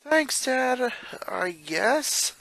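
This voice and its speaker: A reluctant eleven-year-old boy voiced by a man reading a story. He is high in pitch and lively in inflection, with a sarcastic, grumbling manner. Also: drawn-out words, creaky, dry recording, heavily acted, poor audio. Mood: unsure.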